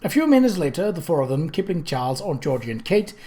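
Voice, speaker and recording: A man narrates a story from a written text as an audiobook reader. His voice sits a little higher than most men's. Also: very animated, fast, dark tone.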